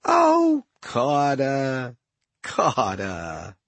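A smug villain magician from a storybook, acted out by a male narrator, talks in glib, condescending patter. The voice is slightly raised and husky, swinging widely in pitch as he plays at being everyone's pal. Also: sing-song, measured pace, a bit bright, no room sound, heavily acted. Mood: mocking, smug. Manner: glib, condescending, casual wise-guy patter. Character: smug, chatty male villain magician, mockingly friendly schemer. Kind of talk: audiobook character dialogue.

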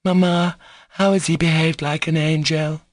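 A man's voice: fairly high pitch, little pitch movement, moderate pace, no echo, studio quality, pitched above the performer's own voice, lightly acted. A man voicing a petulant, demanding young girl who exclaims impatiently in an audiobook story. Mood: petulant, anxious.

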